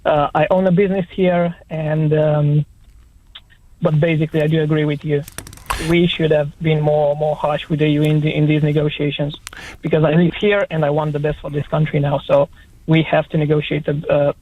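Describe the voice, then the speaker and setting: A man from Romania phones in to a radio show, his fairly high voice squeezed through a thin, phone-like line. He is friendly but hesitant, repeating words as he searches for them. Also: little pitch movement, moderate pace. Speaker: caller. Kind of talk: phone-in call.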